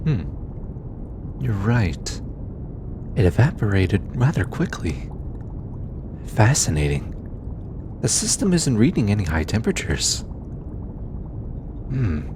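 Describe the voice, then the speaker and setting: A man performs a studious, gentle alien scientist in an intimate audio roleplay. His medium-pitched voice is soft-spoken and very expressive, with slow, patient phrasing that turns hesitant when he is flustered. A clear low rumble of noise sits behind him. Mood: calm, focused. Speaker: voice actor. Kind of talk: intimate scripted audio roleplay, addressing the listener.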